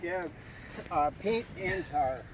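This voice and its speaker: A man's voice: high voice, lively intonation, takes it slowly, a little hiss or hum, poor audio. A man in his seventies chatting plainly about the repair work he is doing on a hangar roof outdoors, picked up by a camera some way off. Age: 70s. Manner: plainspoken, explains his handiwork while working outdoors. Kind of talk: casual chat while working. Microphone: filmer's camera some distance away.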